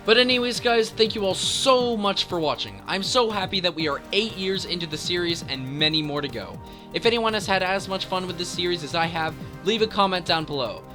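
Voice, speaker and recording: A man's voice: high voice, brisk. A man hosting a video game top-ten countdown, speaking with emphatic, enthusiastic commentary and dramatic build-ups. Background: music.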